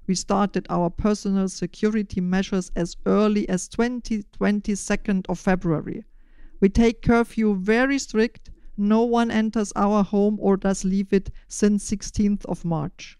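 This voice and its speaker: Older german lady on a podcast, german accent, crisp clear voice, scripted sounding answers, dry sound. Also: mid-range voice, fairly even intonation, unhurried, mellow, no room sound. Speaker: podcast host and initiator.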